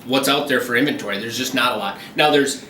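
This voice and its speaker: A man's voice: medium pitch, quick pace, echoey room, distant mic, slight background noise. A man working as a commercial realtor, explaining local deals in a businesslike way during a phone interview. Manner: steady, businesslike explaining with frequent ums.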